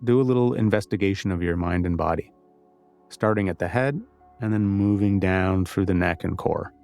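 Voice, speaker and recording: Low-pitched, gravelly male meditation guide. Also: moderate pace, a little mellow, soft background music.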